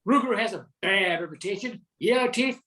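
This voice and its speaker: An older woman acts as a folksy radio storyteller with a medium-pitched voice. The narration is scripted and sets scenes of bayou legend for a radio drama. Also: animated, brisk, fairly bright tone, lightly acted. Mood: storytelling.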